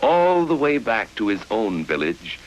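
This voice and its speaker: A man narrating a vintage educational film from the 1950s in a measured, formal storytelling style, on an old film soundtrack. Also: on the low side, very animated, low-quality recording. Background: music.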